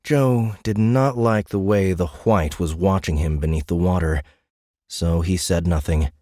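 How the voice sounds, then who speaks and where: A man reading scripted dramatic audiobook narration in a measured, suspenseful manner. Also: deep voice, darkish tone, dry recording, clean studio sound.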